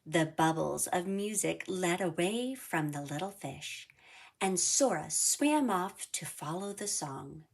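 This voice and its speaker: A woman narrating a children's storybook in a deliberate, animated read-aloud style. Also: lowish voice, vocal fry. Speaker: storybook reader.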